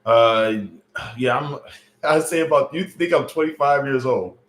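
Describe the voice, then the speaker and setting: A man's voice: medium pitch, measured pace. Chatty man streaming in a roomy echo. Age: late 30s. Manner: loose, chatty, joking with chat, lots of fillers. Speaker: livestream host. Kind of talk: casual livestream chat reading viewer comments.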